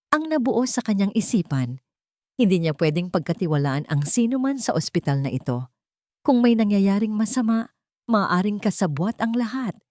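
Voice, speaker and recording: Female narrator, Filipino accent, slightly low register, dry close sound, dramatic scripted storytelling. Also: mellow, no echo.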